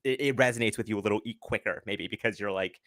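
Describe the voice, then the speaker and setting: A young man chatting casually on a livestream, animated and swearing, acting out quotes. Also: on the low side, fast, no room sound, studio quality. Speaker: livestream co-host. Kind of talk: casual chat.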